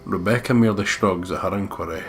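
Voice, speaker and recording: Male let's play host, low voice, casual and jokey, swears freely, faint game music behind. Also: fast talker. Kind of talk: casual game commentary and reading aloud.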